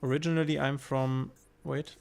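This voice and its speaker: Gravelly German-accented man streaming. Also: mid-range voice, level tone, moderate pace, darkish tone. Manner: thinks aloud, hesitant, reacts to chat. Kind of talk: casual gameplay commentary.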